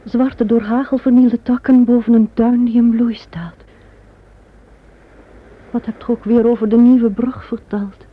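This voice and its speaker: A woman's voice: on the high side, little pitch movement, unhurried, pauses often, breathy edge. A woman playing a proud queen gripped by fearful visions, speaking in an old radio drama. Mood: troubled. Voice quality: hushed, whispering, frightened. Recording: tinny old radio recording, faint hiss.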